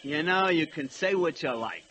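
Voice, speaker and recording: A man's voice: fairly high pitch, lively intonation, moderate pace, bright, forceful tone, slight background noise, poor audio. An actor playing a slangy, plaintive, lovelorn male locomotive with tough-guy grammar, in 1940s radio style. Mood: dreamy, wistful.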